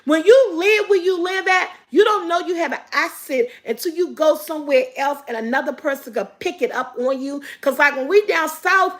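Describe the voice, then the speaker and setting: A woman with a Washington, D.C. accent chats in a high, bright-toned voice. She tells stories in an animated way, doubling back on her words as she goes. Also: moderate pace, few pauses. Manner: chatty, animated storytelling with repeated words. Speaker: vlog co-host. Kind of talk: casual chat on a couch.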